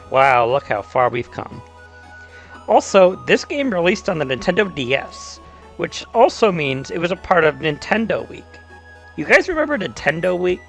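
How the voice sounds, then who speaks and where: A man's voice: fairly high pitch, creaky, fairly bright tone. A man hosting a casual scripted video essay, talking in a wry, conversational and emphatic way. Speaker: YouTube host.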